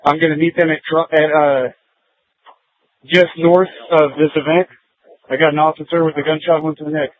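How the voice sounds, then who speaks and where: A man's voice: on the high side, level tone, normal speed, long pauses, dry recording. A male police officer giving urgent, clipped orders over a police radio. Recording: phone-like police scanner radio audio.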